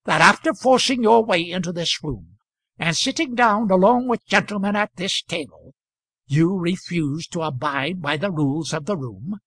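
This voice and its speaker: A man reading the part of a pompous, blustering male commercial traveller who presides over the table, loud and hectoring. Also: high-pitched, animated, moderate pace, no echo, exaggerated voice. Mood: indignant.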